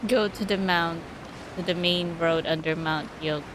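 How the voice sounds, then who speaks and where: A woman's voice: fairly low pitch, fairly even intonation, a little slow. A woman giving casual commentary as she plays and streams a video game. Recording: loud background noise.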